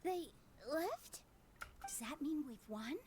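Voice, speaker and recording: An actress playing a gentle, earnest young dancer in a video game, with a soft, sincere delivery. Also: female voice, high voice, expressive, slow, soft background music. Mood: surprised, relieved.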